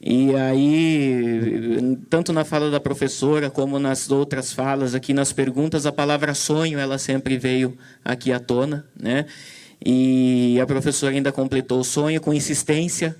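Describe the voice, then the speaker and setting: A man's voice: mid-range voice, steady tone, a little slow, darkish tone, clear, resonant tone. A man moderating a conference session, talking hesitantly with many fillers and restarts. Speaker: event moderator. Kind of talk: commentary on a talk.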